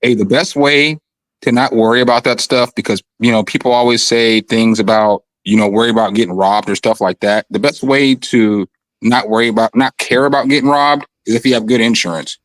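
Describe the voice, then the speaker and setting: A man with a raspy voice chatting casually and loosely from his home studio, full of slang and fillers. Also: medium pitch, fairly quick, no echo, poor audio. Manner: laid-back, slangy, rambling with fillers. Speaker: guest musician on a video call. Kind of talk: casual chat. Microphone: condenser studio mic.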